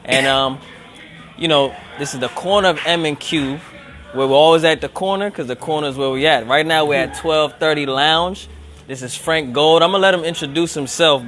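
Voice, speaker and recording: A man's voice: on the high side, a bit bright. Easygoing man hosting a live interview. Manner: loose, conversational, lots of fillers. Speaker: show host. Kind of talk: casual live-streamed interview. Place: upstairs lounge of a restaurant. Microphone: handheld phone, no tripod.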